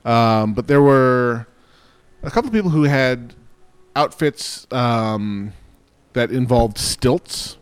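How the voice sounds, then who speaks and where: A man's voice: medium pitch, animated, takes it slowly, clear, resonant tone, no room sound. A man co-hosting a casual web show, joking and rambling with lots of fillers. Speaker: co-host.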